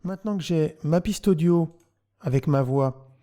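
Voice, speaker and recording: A man narrating a software tutorial, explaining things step by step as the host. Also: on the high side, a little slow, loud music behind the voice.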